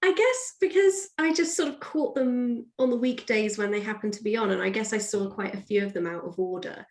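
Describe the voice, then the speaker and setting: A woman's voice: medium pitch, a little fast, a little mellow. A woman speaking as a podcast guest in a casual, chatty, hedging way, recorded in an echoey room. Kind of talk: casual chat.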